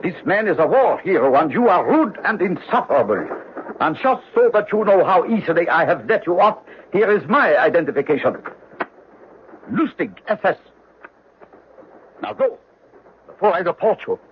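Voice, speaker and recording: An actor playing an imperious German SS officer in an old radio drama, speaking in a formal, clipped way. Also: male voice, sits high, sing-song, normal speed, pauses often. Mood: haughty, indignant. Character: imperious, haughty German SS officer, friendly to comrades. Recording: muffled radio drama recording, faint noise.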